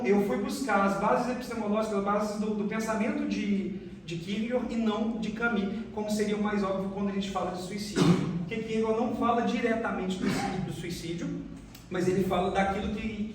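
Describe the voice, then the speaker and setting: A Brazilian man giving an academic presentation as a researcher, explaining in a halting way with restarted phrases. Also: high-pitched, fairly even intonation. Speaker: researcher presenting a case study. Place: reverberant room, recorded from a distance. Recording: very echoey room, distant mic, faint noise.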